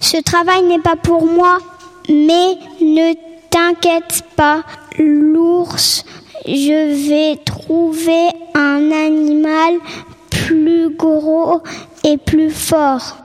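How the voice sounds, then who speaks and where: A woman's voice: high-pitched, level tone, extremely slow, long reverb tail. A woman voicing a small, eager ant who offers to help and reassures the bear. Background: children's music.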